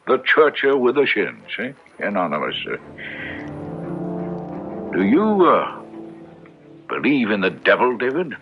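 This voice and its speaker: Fussy, lively old gentleman. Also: fairly high pitch, full character voice. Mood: hesitant, probing. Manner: hesitant, musing, old-fashioned exclamations. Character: kindly, fussy old scholarly gentleman, loyal friend. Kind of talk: old radio drama acting. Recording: dull lo-fi radio recording with music.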